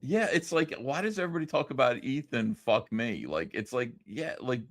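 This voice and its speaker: A man's voice: medium pitch, lively intonation, normal speed, no echo, clean studio sound. A man hosting a casual livestream, reading viewer comments aloud in a loose, profane, rambling way full of fillers. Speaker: livestream host.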